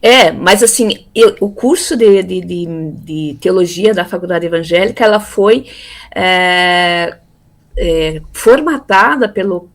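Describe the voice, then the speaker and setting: A woman in her fifties from Brazil, telling her life story in a relaxed interview, pausing often with fillers and repeated words. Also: lowish voice. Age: 50s. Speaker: interviewee, theology graduate. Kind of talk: casual conversational interview.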